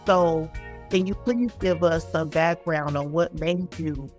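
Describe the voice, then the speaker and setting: A woman's voice: low-pitched, slow, darkish tone, faint music behind. A woman hosting a podcast, introducing and questioning a guest in a conversational way. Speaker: podcast host.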